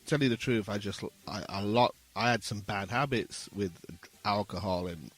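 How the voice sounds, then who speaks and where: A man's voice: fairly low pitch, normal speed, poor audio. A man speaking casually and earnestly as an interview guest, modest and reflective.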